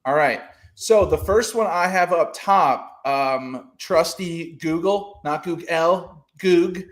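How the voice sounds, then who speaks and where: A man's voice: fairly high pitch, slow. A man hosting a casual trading podcast over a video call, rambling with lots of fillers and restarts. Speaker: podcast host.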